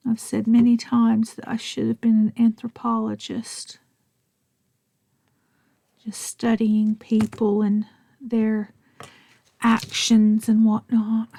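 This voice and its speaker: A middle-aged woman with a Southern US accent, chatting and rambling softly, often half-whispering, as she narrates her crafting. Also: on the high side, monotone, slow pace, vocal fry, pauses often. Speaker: crafting vlogger.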